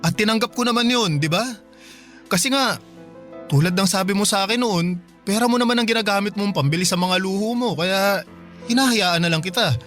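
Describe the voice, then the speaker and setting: A man with a Filipino accent playing an earnest, caring young boyfriend in a radio drama, with a gentle, coaxing manner. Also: high-pitched, fairly quick, faint music behind. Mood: concerned, coaxing. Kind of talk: Tagalog radio drama acting.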